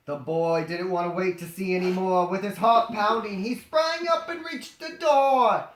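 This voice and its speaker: A storyteller reading aloud comedically, with animated, sarcastic improvised asides. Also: mid-range voice, measured pace. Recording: roomy, echoing sound.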